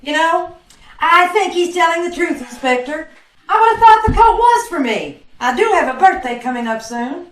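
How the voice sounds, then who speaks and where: A wry, jealous sweetheart played by a woman in a high, very animated voice. She is arch and sarcastic, in old-time radio style, heard in an echoey room. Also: normal speed. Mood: wry, teasing. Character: sleuth's wry, jealous, teasing girlfriend, adult woman. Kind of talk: 1940s radio detective drama acting. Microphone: video call. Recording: roomy, echoing video-call audio.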